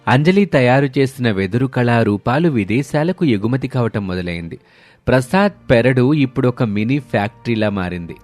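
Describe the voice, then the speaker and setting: A man's voice: mid-range voice, lively intonation, moderate pace, a little mellow, dry recording. A man reading a scripted moral story as its narrator. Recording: background music under the voice. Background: instrumental music.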